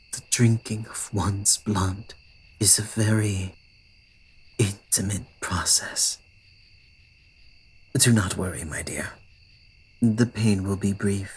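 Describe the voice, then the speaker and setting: Man voicing a slow, courtly male vampire. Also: fairly low pitch, exaggerated voice. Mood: gentle, earnest. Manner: formal old-fashioned phrasing, intimate, often slipping into breathy whispers. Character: courtly, old-fashioned adult male vampire, yearning, polite, smitten. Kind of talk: ASMR roleplay audio drama addressed to the listener.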